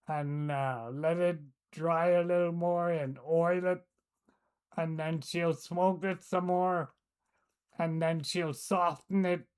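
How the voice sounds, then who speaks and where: An older woman, recalling the past in a casual, hesitant way, often pausing to search for words. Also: sits low. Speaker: storyteller. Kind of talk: casual recollection.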